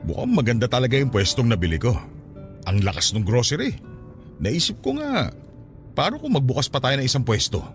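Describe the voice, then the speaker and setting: An actor playing a caring, inquisitive male partner in a radio drama, solicitous and gently probing. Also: mid-range voice, sing-song, brisk, pauses often, soft background music, lightly acted. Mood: concerned, probing. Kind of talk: Tagalog radio drama acting.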